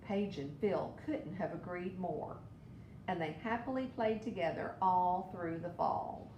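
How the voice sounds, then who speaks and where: A woman reads a storybook aloud for children. Her pace is very slow and her voice slightly low. The room is echoey, with a clear rumble behind her. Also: darkish tone. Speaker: storybook reader.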